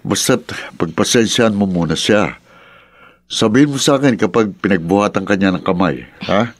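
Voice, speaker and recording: A man's voice: fairly low pitch, moderate pace, no room sound, clean studio sound, lightly acted. A man acting in a Filipino radio drama as a middle-aged, concerned uncle who speaks in an earnest, admonishing way. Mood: gentle concern. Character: concerned, protective uncle, the drunkard's younger brother.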